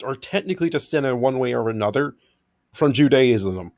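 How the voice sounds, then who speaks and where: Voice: medium pitch, lively intonation, moderate pace, a little mellow. A host in casual group chat, talkative and lecturing with jokes. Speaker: podcast host.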